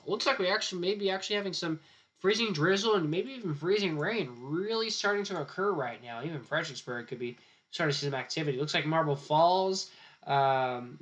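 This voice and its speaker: A man's voice: fairly high pitch, fairly bright tone. A man, a chief forecaster talking loosely through a live weather stream, his throat sore and his voice starting to go. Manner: loose, rambling, repeats "again" often. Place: weather center studio.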